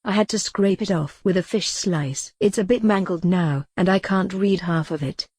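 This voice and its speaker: A synthetic female text-to-speech voice playing a calm, wry retro computer who thinks she's a cat, deadpan in an audio comedy drama. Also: on the low side, moderate pace, breathy edge, no room sound, clean studio sound.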